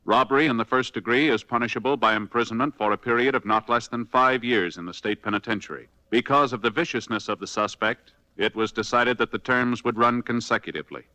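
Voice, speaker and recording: A man's voice: medium pitch, fairly quick, few pauses, bright, forceful tone, dry recording, low-quality recording. A man with an American accent making solemn, formal scripted announcements in the authoritative style of 1950s radio. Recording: vintage 1950s radio recording.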